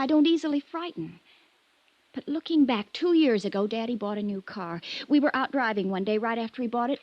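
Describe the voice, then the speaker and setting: A woman's voice: high voice, darkish tone, no echo. An actress playing a troubled, lovelorn young woman from a wealthy family in a 1950s radio drama, confiding wistfully and hesitantly. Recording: muffled vintage radio recording.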